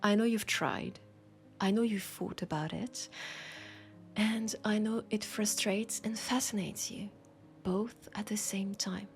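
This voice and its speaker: A woman with a French accent playing a teasing, curious bookshop keeper in an intimate audio roleplay, speaking softly and musingly and often slipping toward a whisper. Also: medium pitch, fairly even intonation, slow, faint music behind. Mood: teasing, wry. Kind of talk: intimate audio roleplay, soft acted monologue.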